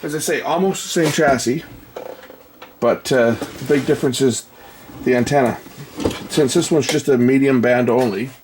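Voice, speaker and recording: A man's voice: medium pitch, normal speed, long pauses, a little mellow, slight background noise. A man giving casual, hesitant commentary, thinking aloud as he works hands-on at a repair job. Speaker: host of a radio repair video. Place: indoor electronics workbench.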